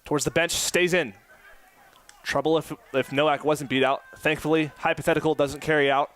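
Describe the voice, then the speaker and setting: A man's voice: fairly high pitch, clear, resonant tone. A man in his early twenties doing live play-by-play commentary for a college soccer match from an outdoor stadium. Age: 20s. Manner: rapid play-by-play that climbs to excited shouting.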